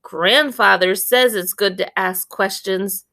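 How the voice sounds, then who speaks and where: A woman's voice: medium pitch, takes it slowly, creaky, bright, forceful tone, exaggerated voice. A woman voicing an anxious, earnest ten-year-old farm boy from a storybook, full of pleading questions. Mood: earnest, curious.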